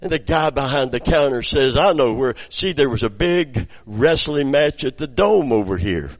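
A middle-aged man preaching a sermon, his voice raspy from preaching, moving between quiet storytelling and loud exclamations. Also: mid-range voice, lively intonation, normal speed. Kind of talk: sermon with personal anecdotes.

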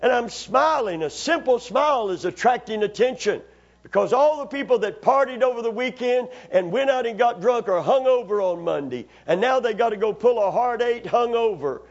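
A man's voice: very high voice, lively intonation, moderate pace, dull audio. A man preaching a sermon as a pastor, calling on the congregation for amens and responses. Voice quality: raspy after Sunday preaching. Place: church sanctuary.

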